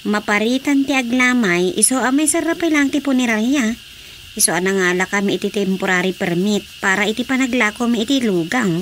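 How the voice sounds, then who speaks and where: A woman's voice: a little high, fairly quick, natural voice. A woman acting a practical, enterprising village woman in an Ilocano radio drama, with an Ilocano accent. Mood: brisk, explaining. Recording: steady background noise.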